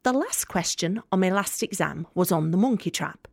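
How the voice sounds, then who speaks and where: An actress playing a young student, guarded, sardonic and candid, in an intimate monologue for an audio play. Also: female voice, lowish voice, animated, normal speed, creaky, no echo, studio quality. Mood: wry, confessional. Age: young adult. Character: guarded, sharp-tongued young female student, hurt but hopeful.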